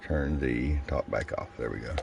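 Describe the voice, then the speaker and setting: A man giving a casual, step-by-step hands-on product review in a plain-spoken, folksy way, pausing between short phrases. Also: rumbling low, gravelly, background noise. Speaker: radio seller demonstrating a product.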